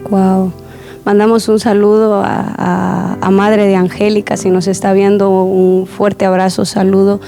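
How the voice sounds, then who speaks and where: Mellow-voiced woman hosting a church program. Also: medium pitch, level tone, unhurried, vocal fry, soft background music. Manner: warm pastoral, repeats words, many affirming murmurs.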